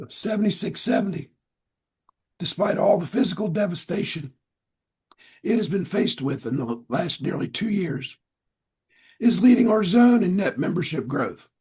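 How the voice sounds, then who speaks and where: A man hosting an online webinar, speaking in a measured, formal, deliberate way over a video call mic, his voice a little strained as he loses it. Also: a little high, darkish tone, breathy edge. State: losing his voice. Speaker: webinar host. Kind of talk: scripted webinar introduction.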